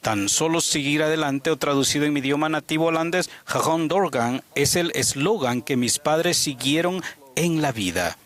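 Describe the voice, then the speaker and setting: A man's voice: fairly high pitch, lively intonation, normal speed, fairly bright tone. A man interpreting a sermon, telling a story with an earnest delivery.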